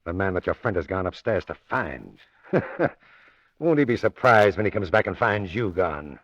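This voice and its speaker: An actor playing a smug, sneering crook in an old radio mystery, mock-polite and gloating, on a dull vintage recording. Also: male voice, sits low, animated, a little slow, fairly bright tone, no room sound, full character voice. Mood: smug mock politeness. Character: Flynn, smug, sneering crooked male villain.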